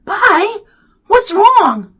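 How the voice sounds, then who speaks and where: A woman's voice: very high voice, very animated, drawn-out words, a bit bright, voice pushed up, heavily acted. A woman voicing a feisty, skeptical, bossy younger child who is easily alarmed, in an audiobook story. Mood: concerned.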